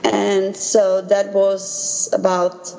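A woman from Belgium, a native Dutch speaker, recounting her experiences as an interview guest in a measured, earnest way. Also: mid-range voice, monotone, gravelly, mellow. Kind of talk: personal recollection in an interview. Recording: dull sound with long reverb.

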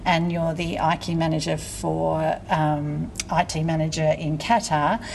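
A woman's voice: low voice, little pitch movement, unhurried, vocal fry, a little mellow, slight background noise. A woman hosting a podcast in a casual, chatty and informal way. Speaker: podcast host. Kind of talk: casual chat.

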